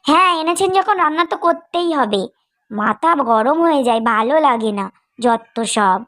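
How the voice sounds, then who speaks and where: A very high-pitched young village wife from a cartoon , played by a woman. She is miserly and calculating, with a brisk, grumbling, fussy way of talking. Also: animated, fairly bright tone. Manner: complaining, brisk, penny-pinching fussiness. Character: stingy, grumbling young village daughter-in-law, calculating and curt. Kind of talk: cartoon family drama acting.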